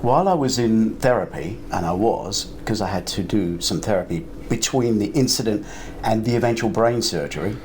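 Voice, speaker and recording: A man's voice: fairly low pitch, animated, normal speed, hardly any pauses, darkish tone. An older man, a guest writer, telling casual anecdotes in a panel conversation. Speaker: interview guest, crime writer and filmmaker. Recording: noticeable ambient noise, live event.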